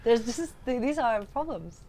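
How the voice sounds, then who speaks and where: A woman co-hosting a podcast, chatting casually about films and games in an animated, exclamatory way. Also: fairly high pitch, normal speed, noisy background. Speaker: podcast co-host.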